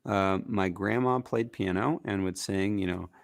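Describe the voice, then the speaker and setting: A man with a raspy, gritty voice, talking casually as a musician guest in an interview, rambling with fillers. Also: low-pitched, a little slow, dry recording, clean studio sound. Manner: relaxed, rambling with fillers and restarts.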